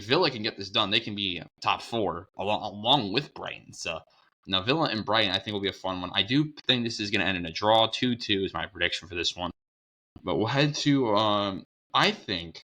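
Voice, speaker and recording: Young male sports host, hoarse, low-voiced, bright and forceful, upbeat and rambling. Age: young adult. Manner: rambling, self-correcting, upbeat. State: hoarse with a cold. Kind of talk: casual sports preview talk.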